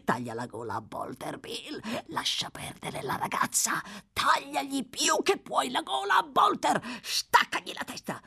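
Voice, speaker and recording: Woman narrator as a terrified old condemned man, audiobook: high, cracking, raving. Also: gravelly, exaggerated voice. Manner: raving, wailing, shouting in terror. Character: frantic old male prisoner awaiting execution.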